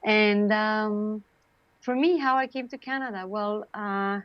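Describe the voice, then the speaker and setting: A woman's voice: mid-range voice, slow pace, no room sound. Romanian-accented woman, muffled dry call. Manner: warm, chatty, laughs often, many fillers. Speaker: guest. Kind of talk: casual interview talk.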